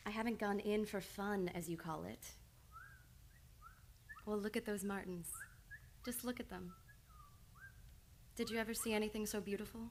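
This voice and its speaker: A woman's voice: mid-range voice, fairly even intonation, moderate pace, long pauses, slight background noise, lightly acted. An actress playing an earnest, reserved woman psychiatrist in an old-time radio drama, speaking formally and precisely. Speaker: radio play actor. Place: radio studio.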